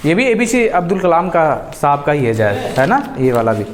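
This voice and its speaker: A man's voice: a little high, big pitch swings, fairly quick, crowd noise. A man with an Indian accent coaching a class in public speaking, instructive and emphatic, modelling phrases for repetition. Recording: echoey room with people around.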